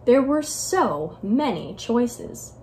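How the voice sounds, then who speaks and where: A young American woman reading a children's story aloud at home as a library storyteller, expressive and carefully enunciated. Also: fairly high pitch, very slow, a little hiss or hum. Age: young adult. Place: living room at home, indoors.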